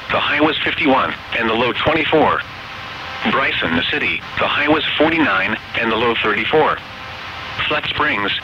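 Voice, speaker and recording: A synthetic male voice with an American accent reads scripted weather bulletins as an announcer, formal, measured and impersonal. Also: medium pitch. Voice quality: synthetic text-to-speech voice. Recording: dull, noisy weather radio broadcast.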